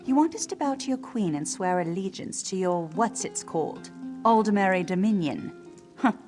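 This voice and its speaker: An actress playing a haughty, sardonic wood elf village leader in a video game. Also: female voice, medium pitch, lively intonation, normal speed, a little mellow, close to an everyday voice. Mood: mocking, dismissive. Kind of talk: video game character acting. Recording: music behind the voice.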